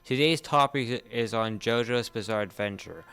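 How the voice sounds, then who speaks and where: A young man hosting a podcast in a casual, halting way, often repeating his words. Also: medium pitch, fairly bright tone, clean tone. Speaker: podcast host. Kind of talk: casual podcast talk introducing a series.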